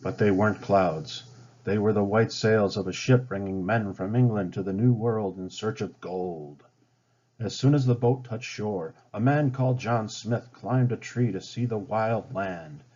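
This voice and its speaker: A man's voice: on the low side, a little slow, darkish tone. A man reading a children's story aloud as a storybook narrator. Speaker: storybook reader. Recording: dull lo-fi home recording.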